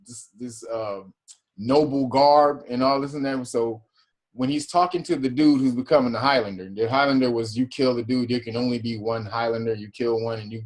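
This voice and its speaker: A man hosting a casual class, reading definitions aloud and explaining them in loose, slangy talk with profanity and laughter. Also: medium pitch. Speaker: class teacher and host.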